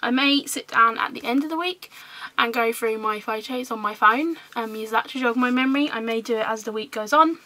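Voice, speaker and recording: Hoarse, chatty woman vlogging. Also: a little high, fairly quick, sharp tone. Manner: chatty, rambling with many ums. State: recovering from lost voice, hoarse. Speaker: scrapbooking vlogger. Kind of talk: casual show-and-tell vlog.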